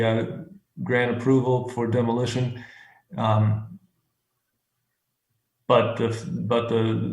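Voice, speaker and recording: Man chairing a hearing, gravelly, flat delivery, halting with fillers, echoey room. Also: fairly low pitch. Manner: halting, many fillers and restarts. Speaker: commission chair.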